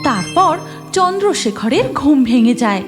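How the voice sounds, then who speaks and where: A woman's voice: high-pitched, big pitch swings, a little slow, over music. A woman narrating a scripted cartoon story as its storyteller.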